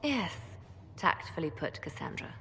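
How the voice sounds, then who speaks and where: A woman's voice: lowish voice, sing-song, measured pace, a bit bright, full character voice. Composed spymaster woman, reverberant. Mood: dry. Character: cool, composed female spymaster. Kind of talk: dramatic fantasy game acting. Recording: reverberant hall, background ambience.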